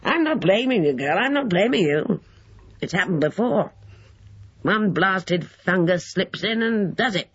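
A male narrator playing a gleeful, spiteful bedridden old man who crows and laughs in a high whinny, on a dull lo-fi recording. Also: lively intonation, unhurried, fairly bright tone, breathy edge, full character voice. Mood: grumbling. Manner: high whinnying cackle, grumbling.

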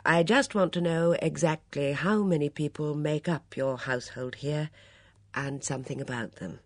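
A woman voicing a quiet, deliberate male police inspector questioning witnesses in an audiobook. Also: low voice, no echo. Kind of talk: audiobook character dialogue.

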